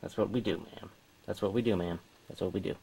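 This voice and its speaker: A man's voice: sits low, steady tone, a little fast, creaky, dark tone. A man playing an obliging soldier on a rescue in an amateur audio drama recorded on cassette. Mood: helpful, polite. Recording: noisy old cassette tape.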